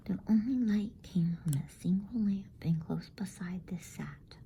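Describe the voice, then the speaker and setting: A woman reading a bedtime story as ASMR in a hushed, unhurried whisper. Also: on the low side, a little hiss or hum.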